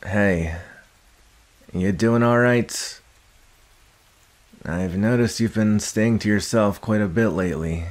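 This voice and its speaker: A young man playing a gruff, guarded but secretly caring villain in an ASMR roleplay, speaking haltingly with sighs and grudging tenderness. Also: lowish voice. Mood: concerned, awkward. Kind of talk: ASMR roleplay addressed to the listener.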